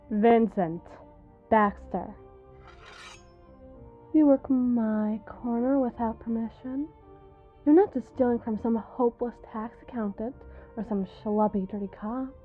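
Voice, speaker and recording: A woman's voice: on the high side, slow, pauses often, phone-quality sound, faint music behind. A woman playing a menacing, mockingly sweet female mob boss in a dramatic ASMR roleplay, taunting and drawing out her words for emphasis. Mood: cold, threatening.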